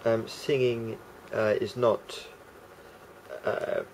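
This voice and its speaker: A man with a croaky voice talking casually to camera as a vlogger, rambling and hesitant with many fillers and restarts. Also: mid-range voice, flat delivery, very slow, darkish tone, slight background noise, rough recording.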